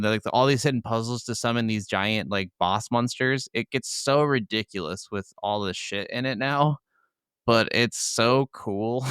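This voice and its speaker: A man's voice: lowish voice, fairly bright tone, clean tone, no echo, studio quality. A man co-hosting a gaming podcast, chatting casually and enthusiastically with plenty of informal swearing. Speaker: podcast co-host.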